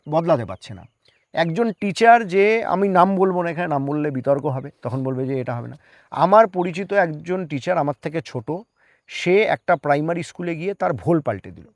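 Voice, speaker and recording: A man's voice: a little high, no echo, studio quality. A man speaking earnestly as an interview guest, explaining his opinions emphatically with rhetorical questions.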